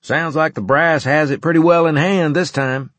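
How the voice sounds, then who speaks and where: A man voicing an eager male military adjunct in an audiobook, speaking in a brisk, forceful way. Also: on the high side, steady tone, no room sound, pitched above the performer's own voice. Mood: confident. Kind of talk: audiobook character dialogue.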